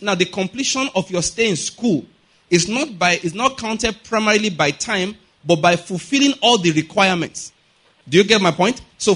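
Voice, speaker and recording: A man's voice: high voice, a bit bright, slightly rough. A middle-aged Nigerian man preaching a teaching sermon, explaining point by point with repetition and frequent rhetorical checks on understanding. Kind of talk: sermon, teaching with everyday examples.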